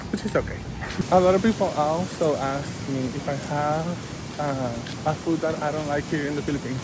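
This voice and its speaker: Un hombre joven de Venezuela, con acento venezolano, charla de forma franca y relajada mientras camina al aire libre y se corrige a menudo. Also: male voice, a little high. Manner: chatty and candid, with frequent restarts. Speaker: vlogger. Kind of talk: casual vlog chat. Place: outdoors on a walk. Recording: outdoor noise with passing traffic.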